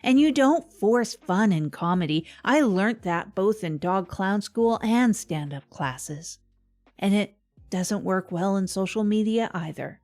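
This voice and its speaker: A woman's voice: mid-range voice, expressive, unhurried, darkish tone. A woman playing an earnest, confessional comedian host delivering a scripted comic monologue on a podcast. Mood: earnest, rueful.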